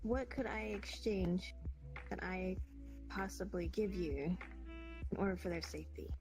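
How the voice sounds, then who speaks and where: A woman's voice: lowish voice, unhurried, over music, low-quality recording. A woman roleplaying casually, speaking in an earnest, pleading way.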